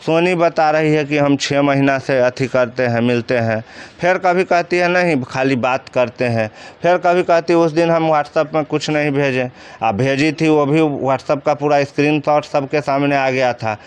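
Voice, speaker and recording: A man with a clear, smooth voice pitched a little high speaks in a Bihari accent. He explains things informally, as an interviewed commentator, posing questions and then answering them himself. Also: normal speed. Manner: colloquial, explanatory, poses and answers own questions. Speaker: commentator interviewed about crime cases.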